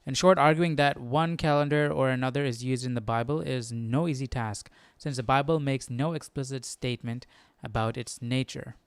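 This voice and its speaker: A man hosting a Bible study livestream, casually thinking aloud and reading articles out loud in an inquisitive, informal way. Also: mid-range voice, steady tone, normal speed, hardly any pauses, smooth, no room sound. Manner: inquisitive, informal, talks to himself.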